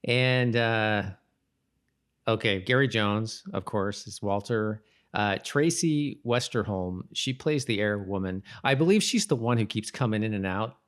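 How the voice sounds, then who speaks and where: A man co-hosting a podcast in a casual, chatty style, often halting and rephrasing as he goes. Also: fairly low pitch, fairly bright tone, smooth. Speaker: podcast host. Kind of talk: casual co-hosted chat about a TV show.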